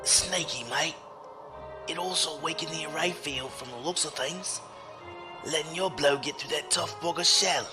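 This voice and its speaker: A man's voice: on the high side, moderate pace, music in the background, lightly acted. A man playing a wry, casual starship crewman in a sci-fi audio drama. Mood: winded banter.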